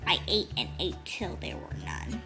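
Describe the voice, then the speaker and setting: A woman acts out a grumpy storybook toad, greedy but sorry. The voice drops low and quiet, slow and apologetic, with music behind it. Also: wildly swooping pitch. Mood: sorry, ashamed.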